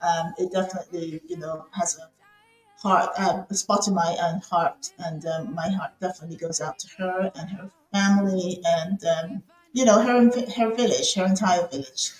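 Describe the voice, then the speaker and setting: A woman's voice: fairly low pitch, normal speed. A woman, a show host from the UK, talking casually and live with plenty of ums and repeated phrases. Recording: roomy echo.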